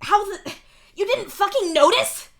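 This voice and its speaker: A woman voicing an angry, exasperated young male troll in a story, swearing and stumbling through yelled outbursts. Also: extremely high pitch, expressive, a little slow, pitched above the performer's own voice, full character voice. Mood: furious yelling.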